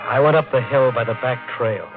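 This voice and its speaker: An actor playing an obsessed treasure hunter sliding into murderous madness, narrating intensely in a 1950 radio drama. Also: male voice, medium pitch, fairly quick, lightly acted. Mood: tense, murderous. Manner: intense, brooding, haunted. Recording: tinny vintage radio broadcast, music underneath.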